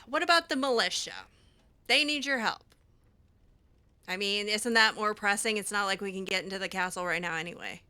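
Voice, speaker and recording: A woman's voice: medium pitch, normal speed, pauses often, sharp tone. A woman role-playing a video game heroine in improvised dialogue, with a dry, sardonic, hesitant delivery. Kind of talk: improvised in-character gameplay dialogue.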